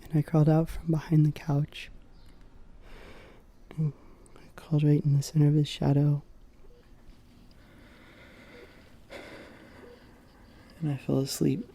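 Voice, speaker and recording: An actor playing a shy, wistful, childlike man telling a memory in a soft, halting, intimate way. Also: fairly high pitch, monotone, vocal fry, slightly rough. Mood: hushed tenderness. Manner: halting, repetitive, childlike, tender. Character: shy, wistful, childlike male dreamer recalling boyhood wonder. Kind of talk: intimate storytelling monologue.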